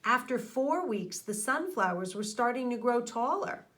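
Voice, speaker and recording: A middle-aged woman, an elementary teacher reading aloud and talking directly to young children in an expressive way, close to a microphone indoors. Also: on the high side, measured pace. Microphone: microphone on a boom arm close to mouth.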